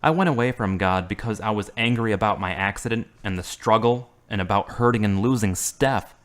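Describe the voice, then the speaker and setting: A man's voice: fairly low pitch, fairly quick, natural voice. An actor playing an earnest young man recovering from an accident, who talks sincerely and asks many questions. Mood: resolute. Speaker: hospital patient. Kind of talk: amateur web drama acting.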